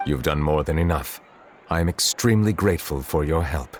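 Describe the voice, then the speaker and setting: An actor playing a solemn, composed male judge in a video game, speaking formally and in measured phrases. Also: a bass voice, big-room sound, a little hiss or hum. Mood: calm, reserved.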